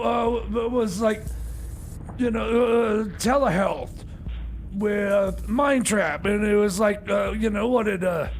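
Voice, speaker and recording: A high, creaky male voice put on by a man hosts community radio over faint noise. He is a flustered, grumpy bumbler who stammers, trails off and rambles slowly between songs. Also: heavily acted. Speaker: radio show host and DJ. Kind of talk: comedic community radio DJ chatter.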